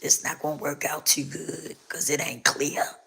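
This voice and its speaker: A woman hosting a casual live stream, hoarse with a rough, scratchy voice, repeating herself as she talks straight to her viewers. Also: low voice, flat delivery, unhurried, bright, forceful tone, whispered.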